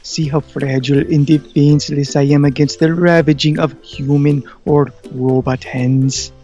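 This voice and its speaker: An actor playing a wily, hammy chubby cat who coaxes melodramatically, in a comic audio drama. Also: male voice, on the high side, level tone, a little slow, warm tone, dull audio, soft background music, lightly acted. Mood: teasing. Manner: coaxing, melodramatic.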